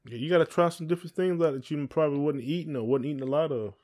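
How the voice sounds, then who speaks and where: A man's voice: fairly high pitch, rapid-fire, darkish tone. A man with a Southern American accent co-hosting a podcast in a casual, folksy, teasing chat. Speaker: podcast co-host.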